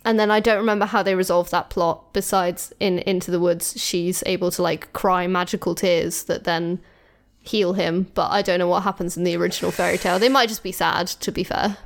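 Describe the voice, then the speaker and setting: A woman's voice: on the low side, fairly even intonation, fairly quick. A woman co-hosting a podcast, talking in a chatty, informal and opinionated way, as if with a friend. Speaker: podcast co-host. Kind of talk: casual chat between friends.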